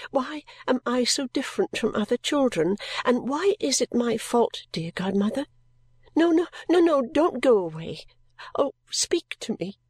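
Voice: medium pitch, animated, unhurried, breathy edge, dry recording, pitched above the performer's own voice. A reader voicing a tearful pleading little girl. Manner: tearful, begging.

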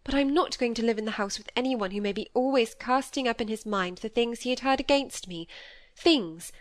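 A woman's voice: fairly high pitch, fairly quick, no room sound, studio quality, voice pushed up, close to an everyday voice. A woman voicing a nervous, scornful young woman in an audiobook, emphatic and self-pitying. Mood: agitated, defiant. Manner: emphatic, agitated. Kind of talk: acted audiobook dialogue.

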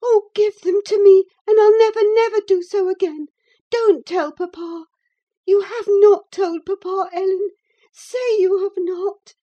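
A woman's voice: very high voice, measured pace, mellow, dull audio, voice pushed up. A woman voicing a distraught, passionate teenage girl in an audiobook, pleading in a breathless, tearful way. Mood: frantic pleading. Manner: breathless, sobbing, imploring. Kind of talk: audiobook character acting.

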